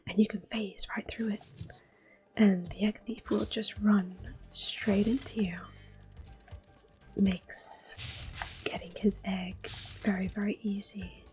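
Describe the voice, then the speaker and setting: A woman giving gentle, unhurried ASMR game commentary in a soft, breathy, reassuring voice. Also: medium pitch, level tone, faint music behind. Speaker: ASMR gameplay host.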